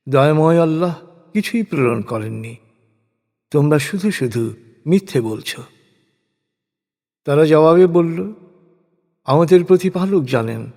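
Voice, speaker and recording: A man's voice: a little high, darkish tone. Man reciting solemnly in long reverb. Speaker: reciter of scripture translation. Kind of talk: solemn scripted recitation of translated scripture.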